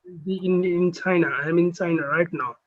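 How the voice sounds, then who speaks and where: A man who is a neurosurgery trainee, chatting on a video call and asking halting, filler-heavy questions. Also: a little high, fairly even intonation, phone-quality sound. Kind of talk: casual video call chat.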